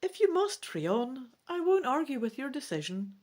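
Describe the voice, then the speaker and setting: A woman's voice: high-pitched, big pitch swings, moderate pace, darkish tone, voice pushed up. A woman voicing a brave, protective female adventurer in a fantasy storybook, speaking earnestly and emphatically. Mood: reluctant agreement.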